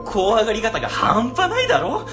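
A man playing a cowardly, dramatic middle-aged section chief in a comedic radio drama, stammering and excitable. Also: high-pitched, normal speed, music in the background. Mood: frightened. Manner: stammering, excitable, exaggerated frightened delivery.

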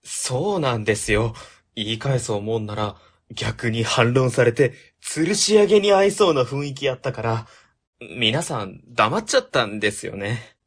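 An actor plays a considerate junior man at the office in a radio drama. His husky, mid-pitched voice moves animatedly as he talks politely. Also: expressive, normal speed. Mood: earnest, troubled.

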